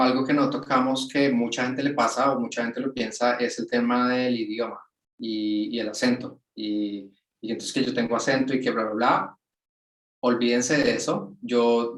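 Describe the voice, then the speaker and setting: A man, a guest on a webinar, talking in a relaxed, colloquial way over a video call. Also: medium pitch. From Colombia. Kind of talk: casual webinar interview. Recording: roomy sound from off the mic.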